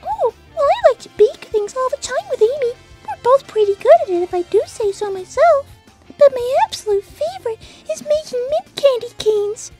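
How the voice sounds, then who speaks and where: An extremely high, lilting little rabbit girl from a cartoon. She is sweet, prim and very polite, with innocent manners. Light music sits behind her. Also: very animated, normal speed, mellow. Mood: sweet, demure. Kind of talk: animated character Q&A, fan dub.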